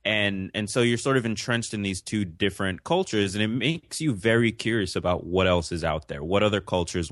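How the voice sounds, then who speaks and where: Man with a very low voice, earnest and reflective, dry close mic, young adult. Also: fairly bright tone, no echo. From Jamaica. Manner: earnest, reflective, with frequent restarts. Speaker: interview guest. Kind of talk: conversational interview.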